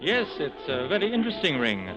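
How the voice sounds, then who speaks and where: An actor playing a calm, cautious, dignified resistance leader in 1940s radio style, courteous and measured. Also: male voice, on the high side, low-quality recording. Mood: calm, guarded. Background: music.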